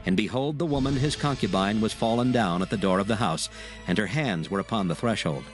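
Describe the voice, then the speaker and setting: A man's voice: on the low side, fairly quick, faint music behind. A man narrating dramatized scripture in a solemn storytelling manner, reading archaic English from a script.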